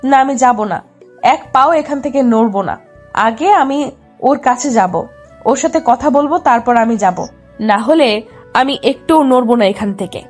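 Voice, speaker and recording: Woman acting a high-voiced headstrong lovesick girl. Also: unhurried. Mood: anxious, stubborn. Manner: insistent, childish pleading. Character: headstrong lovesick young woman, pouty injured daughter. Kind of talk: dramatised love story narration. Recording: music behind the voice.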